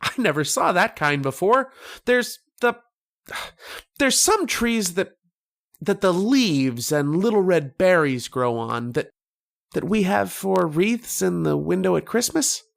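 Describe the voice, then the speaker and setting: A man playing a dreamy, insistent young boy in an old-time radio drama, speaking in a halting, earnest, childlike way. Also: high-pitched, very animated, gravelly, heavily acted.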